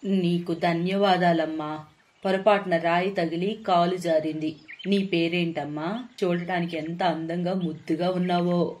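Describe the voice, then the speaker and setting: Storybook sorceress, middle-aged played by a woman: kindly, coaxing, unhurried delivery. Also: on the low side, fairly even intonation, darkish tone, natural voice.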